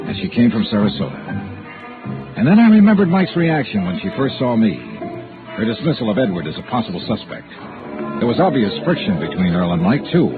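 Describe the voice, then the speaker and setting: An actor playing a shrewd, hard-boiled insurance investigator in a vintage radio noir, with wry, clipped, emphatic delivery. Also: male voice, medium pitch, natural voice. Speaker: lead detective and narrator. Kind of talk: 1950s radio noir narration and acting. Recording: tinny old radio recording, music behind.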